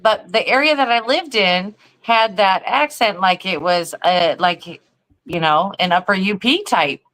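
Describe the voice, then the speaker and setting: Woman co-host just chatting, part midwest part southern us accent, bright forceful voice. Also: medium pitch, measured pace. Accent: American, mixed Midwestern and Southern. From Michigan and Missouri, USA. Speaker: talk show co-host. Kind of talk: casual chat.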